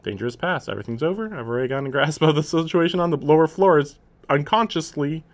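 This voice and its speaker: A man's voice: on the high side, fast talker. A man live-streaming a visual novel, reading it aloud in a mock-dramatic way and breaking off into sarcastic, sometimes profane asides. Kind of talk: live commentary mixed with dramatic read-aloud.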